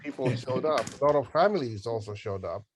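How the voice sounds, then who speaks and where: Halting middle-aged man on a group call. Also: medium pitch, animated. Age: 50s. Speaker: organiser leading a group planning call. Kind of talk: casual group call discussion.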